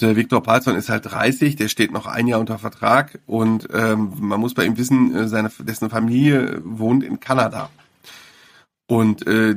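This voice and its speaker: A man, a sports reporter, talking casually in a podcast interview, with many fillers and restarts. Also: on the low side.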